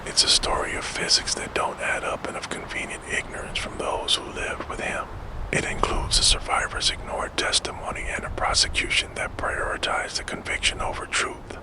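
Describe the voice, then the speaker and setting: A man narrating true crime in a soft, fully unvoiced ASMR whisper, slow and measured, close to the microphone. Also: low-quality recording.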